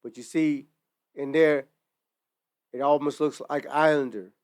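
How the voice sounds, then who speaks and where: Guy in his 40s telling it straight, reflective, slangy, clean smooth voice, dry sound. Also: a little high, fairly even intonation, a little slow, darkish tone, no echo. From Utah, United States. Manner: reflective, casual street slang, storytelling. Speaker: merch brand founder. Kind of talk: earnest personal monologue to camera. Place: indoors in front of merchandise display.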